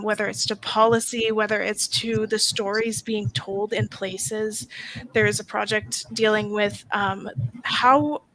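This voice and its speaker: A woman's voice: medium pitch, fairly bright tone, a little hiss or hum, poor audio. A woman on a discussion panel, explaining in a casual way with lots of fillers.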